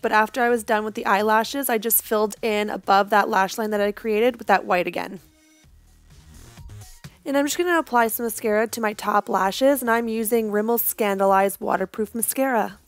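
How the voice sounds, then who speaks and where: A young woman, hoarse from losing her voice, giving a casual step-by-step makeup tutorial voice-over. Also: medium pitch, dry recording. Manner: casual, chatty, reassuring.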